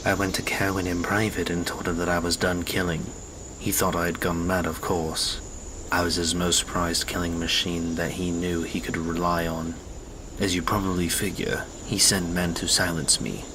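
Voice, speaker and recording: An actor playing a brooding reformed assassin who leads a resistance, speaking in a grave, world-weary, measured way in an audio drama. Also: male voice, low-pitched, little pitch movement, background noise, lightly acted. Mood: haunted, confessional.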